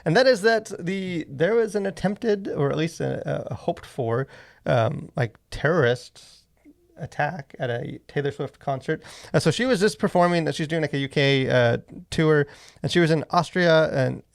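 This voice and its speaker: A man hosting a casual podcast discussion, talking in a halting, rambling way full of fillers. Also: on the high side, creaky. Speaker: podcast host.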